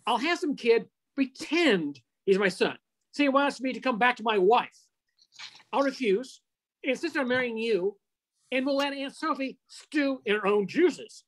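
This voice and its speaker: Older woman as wry male reporter, radio drama, wisecracking, higher-pitched, expressive delivery. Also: unhurried. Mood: sly, scheming. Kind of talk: old-time radio play acting. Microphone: video call.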